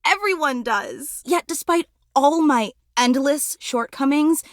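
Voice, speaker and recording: A woman's voice: high-pitched, slow, no echo, studio quality. A woman playing a clingy, overemotional superfan in a video game, with a melodramatic, effusive, fawning manner. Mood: adoring, earnest. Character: gushing, clingy, overemotional female superfan, obsessively devoted. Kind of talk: video game character voice acting.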